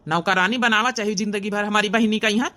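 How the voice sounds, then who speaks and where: A woman's voice: mid-range voice, fairly even intonation, fairly quick, sharp tone, lightly acted. A woman voicing an outspoken, protective village brother with an Awadhi accent, arguing reproachfully in a cartoon comedy. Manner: argumentative, reproachful. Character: outspoken, protective grown son and elder brother, village man.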